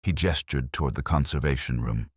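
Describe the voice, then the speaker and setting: A man narrating a scripted first-person detective story in a measured, literary, reflective way. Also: a bass voice, breathy edge, dry recording, clean studio sound.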